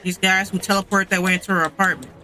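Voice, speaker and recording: A man's voice: sits high, steady tone, fast talker, pushed and bright, soft background music. A man giving casual, slangy live commentary as he streams a game, reacting to what happens in it.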